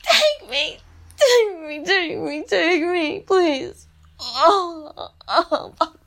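Very high, slow, breathy young woman. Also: animated, fairly bright tone.

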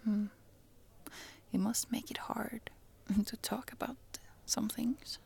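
A woman voicing a friendly, chatty young Swedish traveler with a Swedish accent, in a soft, giggly whisper for an ASMR roleplay. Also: a little high, fairly even intonation, slow pace, vocal fry, husky, exaggerated voice. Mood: puzzled.

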